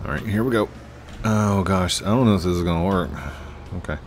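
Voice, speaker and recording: A middle-aged American man with a lowish voice commentates casually on a game. He rambles playfully, talking to himself as much as to his viewers. There is clear background noise behind him. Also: fairly quick. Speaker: gaming video host. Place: indoors at a desk, webcam stream setup.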